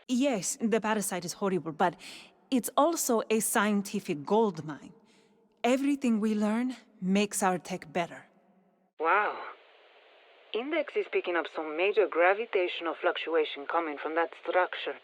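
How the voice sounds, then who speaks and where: An actress playing a brisk, sharp-minded scientist in a video game, matter-of-fact and technical, with a Spanish accent and a processed voice. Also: female voice, medium pitch. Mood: proud, enthusiastic, then curious. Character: brisk, sharp-minded female scientist studying an alien parasite. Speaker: scientist and engineer briefing operators. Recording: thin band-limited radio, some long hall reverb.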